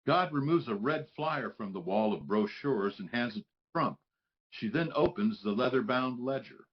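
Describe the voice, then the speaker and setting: A man's voice: medium pitch, animated, normal speed, highs cut off. A man giving scripted narration, reading out stage directions for a script.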